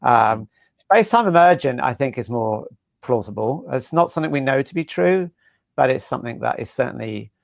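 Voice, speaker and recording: A man speaking as a guest in a casual interview, brisk and a little dismissive. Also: medium pitch, expressive, vocal fry, no echo, clean studio sound. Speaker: guest, co-author.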